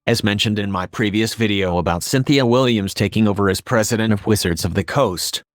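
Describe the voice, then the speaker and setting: A synthetic text-to-speech male voice reading scripted commentary as a video host, in a flat, measured way. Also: fairly low pitch, dry recording, studio quality. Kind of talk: scripted narration.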